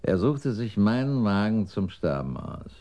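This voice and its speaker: An actor playing a surly, sly drug dealer who grumbles defiantly and evasively in a radio crime drama. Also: male voice, fairly low pitch, sing-song, a little slow, dark tone. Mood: defensive, smug.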